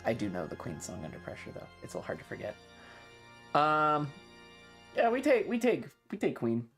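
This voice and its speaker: Casual livestreamer, opinionated and foul-mouthed, animated delivery, rambling chat over faint music. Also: medium pitch, brisk. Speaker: streamer.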